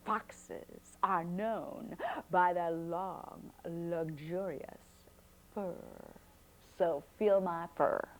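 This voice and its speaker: A woman's voice: fairly low pitch, steady tone, extremely slow, voice pushed down. Woman doing a vain, sly male fox.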